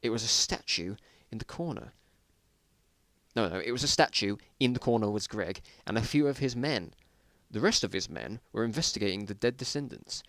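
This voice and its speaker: A man's voice: on the low side, expressive, normal speed, fairly bright tone, no echo. A man giving a dramatic reading of his own story, breaking off for self-mocking, exasperated asides about the writing.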